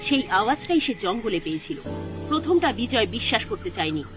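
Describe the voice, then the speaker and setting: Expressive woman narrating a horror story. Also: fairly high pitch, fairly quick, music in the background.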